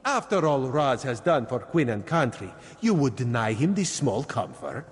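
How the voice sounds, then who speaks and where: A man's voice: medium pitch, sing-song, normal speed, lightly acted. An actor voicing a cheeky, roguish cat-folk agent of a queen in a fantasy game, with theatrical delivery. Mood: mock offended. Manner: theatrical, speaks of himself in third person. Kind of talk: fantasy video game voice acting. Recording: long hall reverb, music behind.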